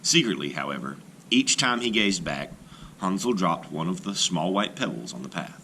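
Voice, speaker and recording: A man, a teacher, reading a folktale aloud to children in an expressive, animated storytelling manner. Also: deep voice, normal speed, gravelly, slight background noise, rough recording.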